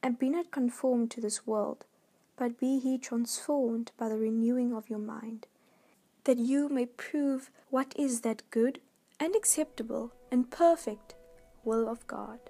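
Higher-voiced woman reading a devotional, mellow tone. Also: a little slow. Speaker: devotional reader. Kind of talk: scripted devotional reading with Bible verses.

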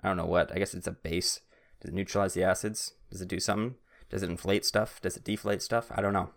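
Young male podcast host, deep gravelly voice, self-deprecating and easily sidetracked. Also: steady tone, fast talker. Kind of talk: casual solo podcast monologue. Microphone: podcast microphone.

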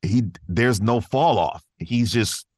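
A man's voice: medium pitch, slow, no room sound, studio quality. A man who is an interview guest and voice actor, chatting casually in an earnest, enthusiastic way with laid-back slangy phrasing. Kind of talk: casual conversation.

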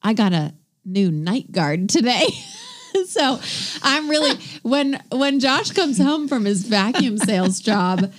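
A woman's voice: a little high, expressive, slow. A woman with a nasal, slightly congested voice talks casually as a podcast co-host. She is chatty and laughs easily between her stories. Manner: giggly, chatty.

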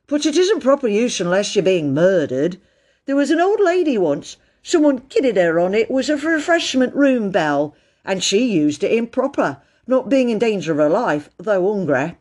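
A woman's voice: medium pitch, sing-song, normal speed, darkish tone. A woman voicing a chatty male railway porter in a children's storybook, explaining things in colloquial working-class phrases. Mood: chatty, anecdotal.

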